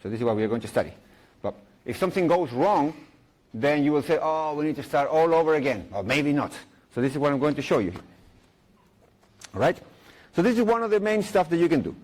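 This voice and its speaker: A middle-aged man speaks into a stage mic at a conference, his voice sitting a little high for a man. He talks in English, cracks jokes and restarts sentences as he walks the room through a technical idea. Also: expressive, a little fast, pauses often, a little mellow, low-quality recording. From Chile. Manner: playful, jokey, frequent fillers and restarts. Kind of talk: live technical talk with audience questions.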